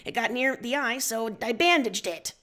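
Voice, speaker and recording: Stubborn alien rebel leader, played by a woman, fairly high voice, sarcastic, impatient, deadpan. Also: moderate pace. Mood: urgent, defensive.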